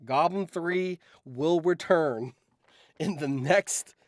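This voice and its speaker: A man's voice: a little high, slow, no room sound, clean studio sound. A man hosting a livestream, chatting casually with viewers and thinking aloud, often repeating his phrases. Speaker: livestream host.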